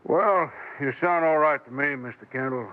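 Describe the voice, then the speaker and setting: An actor playing a self-satisfied, controlling sheriff in a 1950s radio western, folksy and authoritative. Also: male voice, a little high, normal speed, lightly acted. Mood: firm, folksy. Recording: tinny vintage radio recording.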